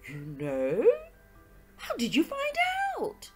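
A woman voicing an earnest, baffled English gentleman, a detective's loyal friend, speaking in puzzled exclamations in an audiobook. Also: high-pitched, wildly swooping pitch, unhurried, over music, pitched above the performer's own voice, full character voice. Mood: astonished.

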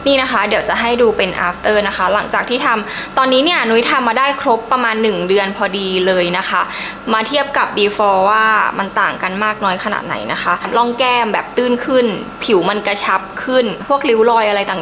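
A woman's voice: medium pitch, a little fast, hardly any pauses, noisy background. A young woman gives a casual, enthusiastic review to camera in a chatty, emphatic way. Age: young adult. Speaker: beauty vlogger.

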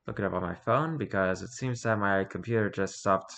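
A man's voice: lowish voice, a little fast, gravelly, fairly bright tone. A man reading aloud casually with wry offhand asides, sometimes stumbling over the words as he goes. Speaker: streamer reading and reacting to wiki pages.

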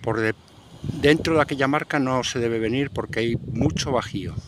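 A man's voice: mid-range voice, normal speed. Chatty older man vlogging outdoors. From Spain. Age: 60s. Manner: chatty, talks to viewers while walking and working. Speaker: retired sailor vlogger. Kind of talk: casual vlog narration. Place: outdoors on a boat, beach and town. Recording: noisy outdoor camera audio.

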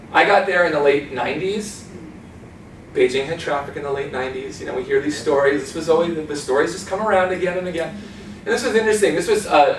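A man's voice: on the high side, slight background noise. A man in his forties giving an informal, chatty talk with slides, gesturing and mimicking voices as he tells stories. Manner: chatty and animated, gestures a lot, mimics accents. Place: small conference room. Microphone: far camera. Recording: roomy sound from a far camera.

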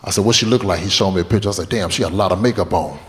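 A man's voice: low voice, rapid-fire, very noisy. A man in his late twenties doing a stand-up comedy set on stage, telling loose, profane stories and talking with the crowd. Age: 20s. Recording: echoey club with long reverb. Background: audience laughter.

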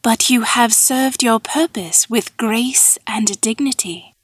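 A woman's voice: fairly high pitch, slow, husky, no room sound. A woman playing an ancient, all-knowing sphinx in a fantasy audiobook, speaking in a dreamy, formal drone with cool menace. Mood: dreamy, solemn. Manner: dreamy, droning monotone, formal and cryptic.

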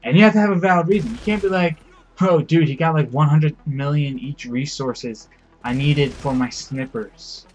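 A man's voice: fairly high pitch, moderate pace, faint music behind. A young man who is losing his voice lays down rules for his game crew in rambling casual talk, full of fillers, pleading and the odd swear word. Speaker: crew leader giving rules.

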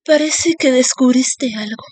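A woman's voice: sits high, unhurried, darkish tone. A woman voicing a nerdy, uneasy young female sleuth in a cartoon fan dub. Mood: questioning.

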